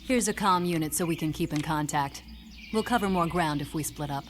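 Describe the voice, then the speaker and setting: Female agent for a game: actress playing cool, guarded, businesslike, slightly low voice, music behind. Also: brisk, lightly acted. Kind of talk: video game voice acting.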